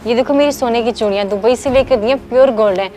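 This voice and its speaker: A woman's voice: on the high side, fast, dark tone, lightly acted. A woman playing a boastful, meddling young newlywed friend with a blunt, needling manner. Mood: boastful, nosy. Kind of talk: acted moral-story drama dialogue.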